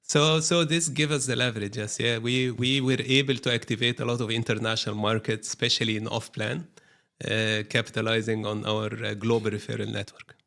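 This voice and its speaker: A man from Egypt, speaking as a guest in a business interview. He talks in measured phrases and repeats words while he searches for what to say. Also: lowish voice, fairly even intonation, gravelly, pushed and bright. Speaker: guest, brokerage managing director.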